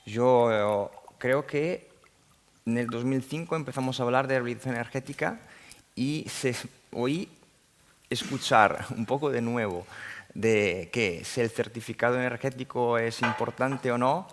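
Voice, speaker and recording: A man's voice: mid-range voice, measured pace. Italian man speaking careful non-native Spanish. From Italy. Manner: searching for words in a second language. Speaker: panelist, sales director. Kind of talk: round-table panel talk. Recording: echoey hall, faint noise.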